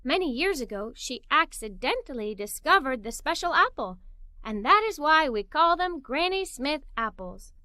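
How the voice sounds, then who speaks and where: A woman narrating a children's story aloud in an expressive, playful way. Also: high-pitched, normal speed, no room sound.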